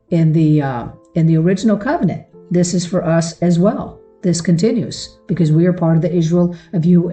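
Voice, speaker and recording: Low-voiced woman in an echoey room. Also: mellow. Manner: earnest, affectionate address to listeners. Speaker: host of a religious teaching show. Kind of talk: informal devotional talk to followers. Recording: roomy echo, far from mic.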